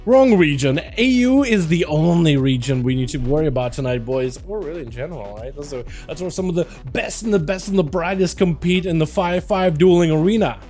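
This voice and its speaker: Young guy from russia on a headset, high mellow voice, rambling stream chat with soft music behind. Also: animated, a little fast, few pauses. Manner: loose and chatty, many fillers and restarts. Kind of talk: casual livestream chat.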